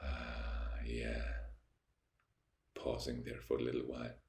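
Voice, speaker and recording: Young Austrian man, deep gravelly voice, German accent, slow reflective to-camera chat in an echoey apartment. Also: completely flat delivery, darkish tone. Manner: slow, reflective, pausing often to search for words. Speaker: vlogger. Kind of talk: unscripted talking to camera. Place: high-rise apartment by a window.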